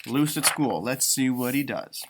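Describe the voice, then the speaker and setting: A man reading a picture book aloud to young children as a teacher, with expressive asides and questions to his listeners. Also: mid-range voice, unhurried. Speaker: elementary school teacher reading storybooks aloud.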